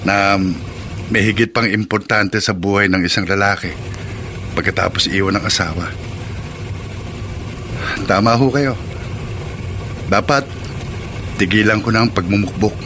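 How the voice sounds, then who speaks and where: A man plays a humble father in a radio drama. His voice is fairly low, polite and earnest. Clear noisy ambience runs behind him. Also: unhurried, long pauses, natural voice. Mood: earnest, resolved. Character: humble, respectful abandoned husband, resolved father. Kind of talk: Tagalog radio drama acting. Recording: clear background noise, engine-like ambience.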